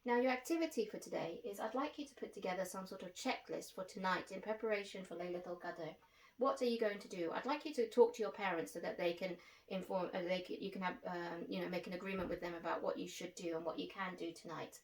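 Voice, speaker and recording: A woman's voice: on the low side, fast. A woman hosting a religious lesson for children, explaining things simply, step by step. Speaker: host and teacher of a children's religious programme. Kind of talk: instructional talk addressed to children. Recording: roomy echo, distant microphone.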